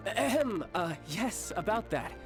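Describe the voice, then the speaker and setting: A man playing a suave young agent and doting older brother in a video game, smooth, polite and slightly sly. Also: sits high, very animated, unhurried, soft background music. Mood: confiding, evasive.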